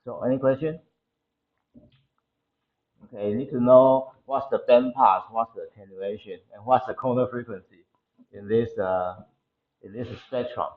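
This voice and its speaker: A man with a Taiwanese accent lecturing to a class, explaining technical material haltingly and often repeating his phrases. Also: mid-range voice, steady tone, narrow, tinny audio. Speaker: university lecturer. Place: indoor classroom.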